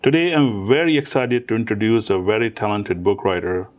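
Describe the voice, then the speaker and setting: A man's voice: lowish voice, brisk. A man hosting a talk show, introducing his guest and asking questions with frequent restarts. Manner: hesitant, with repeated restarts.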